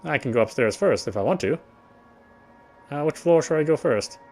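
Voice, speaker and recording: A man reading visual novel narration aloud as he plays, often halting and correcting himself. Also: on the high side, lively intonation, a little mellow, soft background music. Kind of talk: read-aloud game narration.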